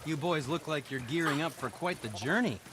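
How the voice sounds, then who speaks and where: A man's voice: fairly high pitch, animated, fairly quick, noisy background, natural voice. An actor playing a wry, chatty, nosy man in a fantasy video game. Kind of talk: video game cinematic acting. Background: music.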